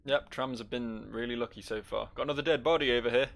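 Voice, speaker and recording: A man's voice: mid-range voice, steady tone, quick pace, creaky. A man giving casual, wry game commentary, thinking aloud and reading in-game text. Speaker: gameplay host.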